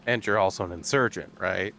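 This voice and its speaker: Low-voiced man, casual and dry. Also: fairly quick, gravelly, sharp tone, slight background noise. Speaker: tabletop game player. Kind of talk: casual game table talk.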